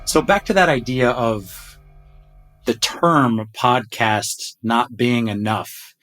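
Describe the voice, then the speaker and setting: A man's voice: medium pitch, takes it slowly, fairly bright tone, faint music behind. A man hosting a podcast, reading a scripted intro and then chatting eagerly with his team, often tripping over his words. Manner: enthusiastic, self-deprecating, stumbles on words. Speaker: podcast host. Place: home closet.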